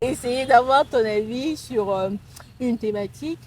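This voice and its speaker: A woman in her twenties with a Cameroonian accent, hosting a conversational interview in a halting, searching way. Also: fairly high pitch, a loud crowd. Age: 20s. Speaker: interviewer and host. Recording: some background ambient noise.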